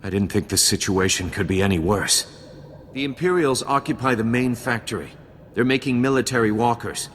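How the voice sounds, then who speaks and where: Actor as a weary Jedi guardian, reverberant. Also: male voice, mid-range voice, fairly bright tone, slight background noise, lightly acted. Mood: grim. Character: weary, grieving Jedi guardian defending survivors. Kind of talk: video game cutscene acting. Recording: long hall reverb, faint game music behind.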